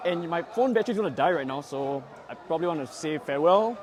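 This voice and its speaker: A man losing his voice, chatting excitedly on a phone outside a football stadium after a match. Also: on the high side, brisk. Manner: excitable, rambling with fillers. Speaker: football vlogger. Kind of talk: casual post-match vlog. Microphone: handheld phone with clip mic.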